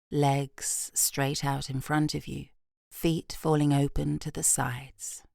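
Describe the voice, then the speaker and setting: A British woman guiding a yoga nidra meditation in a soft, gentle, unhurried voice with long pauses, breathy at phrase ends. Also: low-pitched, no room sound, clean studio sound. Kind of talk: guided meditation, slow and soothing.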